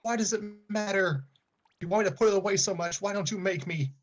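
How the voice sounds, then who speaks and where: A man's voice: sits high, fairly quick, soft background music, voice pushed up, lightly acted. A man voicing an overworked, defensive young woman in a dramatic story reading, curt and dismissive. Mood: defensive, irritated. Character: Erica, evasive, defensive, overworked young woman hiding her feelings.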